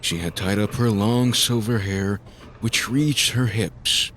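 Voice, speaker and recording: A man's voice: lowish voice, slow pace, a bit bright, slight background noise. A man narrating a scripted story in a dramatic style, as the narrator of an audio drama.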